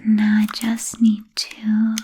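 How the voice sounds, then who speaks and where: An ancient, genteel vampire played by a woman whispers in an ASMR roleplay. The delivery is extremely slow and almost monotone, with a very breathy, voiceless softness. The phrasing is formal and deliberate, soothing yet politely predatory. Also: a little high, full character voice. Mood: gentle, musing. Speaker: ASMR performer.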